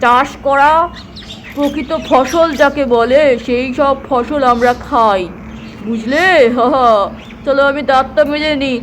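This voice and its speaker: A woman's voice: high voice, takes it slowly, slight background noise. A woman voicing a bossy, chatty village farmer husband in a children's doll cartoon. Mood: chatty, contented. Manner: instructing, chatty, repetitive urging. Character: village farmer husband, bossy, fitness-proud, fond of exercise. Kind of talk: acted children's doll-play cartoon dialogue.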